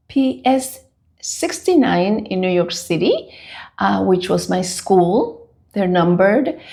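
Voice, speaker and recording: Slow-speaking older Dominican woman. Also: on the low side, gravelly, darkish tone. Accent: Dominican Spanish. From Dominican Republic. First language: Spanish. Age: 70s. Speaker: podcast guest. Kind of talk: personal life story in an interview.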